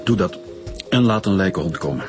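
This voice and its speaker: A man's voice: low-pitched, expressive, fairly quick, music in the background. An actor playing a curt, commanding Flemish male police director in a radio drama. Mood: curt, decisive.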